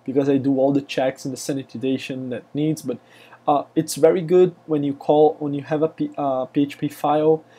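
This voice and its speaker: A man's voice: a little high, fairly even intonation, fairly quick, dark tone, slightly rough. A man from Brazil with a Brazilian Portuguese accent, a native Portuguese speaker, talking a coding screencast with many fillers and restarts. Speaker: web developer. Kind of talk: screencast tutorial.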